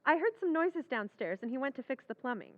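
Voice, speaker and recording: A sweet, timid wife, played by a woman in an old-style radio play. Her high, lively voice sounds tinny and phone-like, and it rings with a long hall echo. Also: fairly quick. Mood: puzzled. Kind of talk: old-time radio drama recreation.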